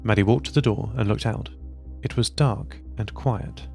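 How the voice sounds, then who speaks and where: A man narrating an audio drama in a calm, measured way. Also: fairly low pitch, vocal fry, soft background music.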